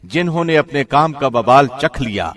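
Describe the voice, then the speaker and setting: A man reading a scripture translation from a script in the formal, measured manner of a radio presenter. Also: mid-range voice, expressive, fairly bright tone. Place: studio.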